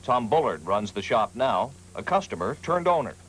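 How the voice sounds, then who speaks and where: An American man narrates a TV news feature in a scripted style. His pitch moves up and down with plenty of variety at a middle register. Faint noise sits behind him, as on a 1980s broadcast. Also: very animated, normal speed, fairly bright tone. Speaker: TV news reporter.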